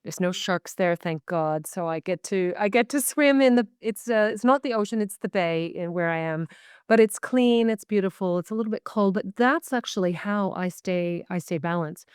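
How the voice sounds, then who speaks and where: A woman's voice: medium pitch, moderate pace, darkish tone, clear, resonant tone, dry recording, studio quality. A woman with a Canadian accent talking earnestly and reflectively as a guest on a video call interview. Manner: earnest, reflective, speaks in long searching phrases. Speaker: guest, medical intuitive. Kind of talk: casual interview talk.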